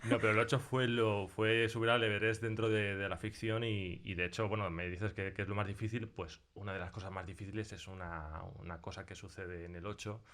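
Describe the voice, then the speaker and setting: A man's voice: lowish voice, little pitch movement, brisk, few pauses, a bit bright. A man with an accent from Spain chatting casually as a sound designer being interviewed in a studio, acting out remembered remarks as he tells stories. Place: recording studio.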